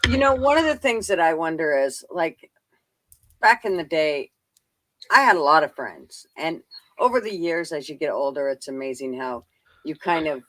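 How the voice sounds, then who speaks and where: A woman's voice: sits low, animated, moderate pace, a bit bright, no echo, rough recording. A middle-aged woman in casual live stream chat, rambling and swearing freely in a blunt, folksy way.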